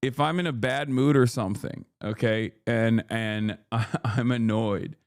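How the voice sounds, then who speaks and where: A man in his thirties from Turkey, streaming and riffing on chat messages in a loud, joking, slangy way with plenty of swearing. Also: medium pitch, fairly even intonation, a little slow, no echo, studio quality. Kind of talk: casual livestream chat.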